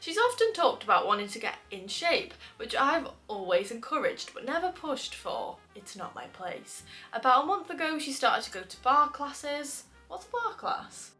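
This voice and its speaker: Chatty woman host, nasal with a cold, fairly high, very bright tone, laughs often. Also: sing-song, normal speed, hardly any pauses, soft background music. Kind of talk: casual chat reading posts aloud.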